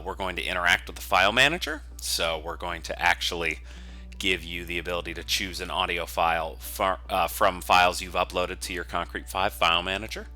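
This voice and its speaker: A man narrating an informal software tutorial in a conversational, explanatory way. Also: deep voice. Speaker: software developer teaching a tutorial.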